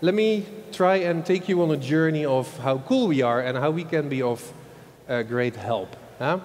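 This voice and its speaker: A man's voice: fairly high pitch, moderate pace, a little mellow. A man with a Dutch accent, a marketing executive giving a loose, chatty conference talk, often tagging lines with "huh?". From Netherlands. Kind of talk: conference presentation. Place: large old event hall. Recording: long hall reverb, faint background noise.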